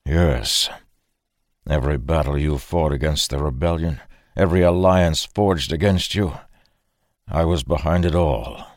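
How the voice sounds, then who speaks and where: A vengeful rebel leader, played by a male narrator, snarls with venom and harsh bitterness. His voice rises above the teller's low register in a close, dry fantasy audiobook. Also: unhurried, no echo. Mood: bitter.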